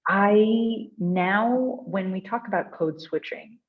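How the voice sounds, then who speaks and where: A woman from India with an Indian accent, speaking conversationally and earnestly as she answers audience questions, with expressive, laughter-prone delivery. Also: mid-range voice, slow pace. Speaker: guest speaker at a design meetup. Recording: dull, lo-fi online call audio.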